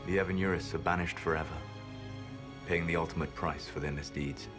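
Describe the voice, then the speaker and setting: A proud, secretive ancient elf mage, voiced by a man speaks slowly and gravely, every word weighed. His voice sits very low, and a swelling game score plays behind him. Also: steady tone, natural voice. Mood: solemn. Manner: measured, grave, quietly regretful. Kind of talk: video game voice acting. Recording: game audio with music score.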